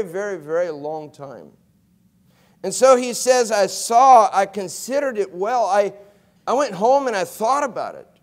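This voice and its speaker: Middle-aged man preaching, halting and emphatic. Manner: swings from soft and low to loud and emphatic, with frequent restarts and stammers. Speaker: guest preacher. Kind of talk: sermon. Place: college chapel stage behind a pulpit. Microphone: pulpit mic, wide fixed camera.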